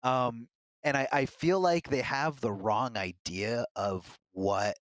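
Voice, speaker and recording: A man's voice: medium pitch, slow, dry recording. Earnest man, dry close sound. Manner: earnest, candid storytelling. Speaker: fighting game commentator, podcast guest. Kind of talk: casual podcast conversation.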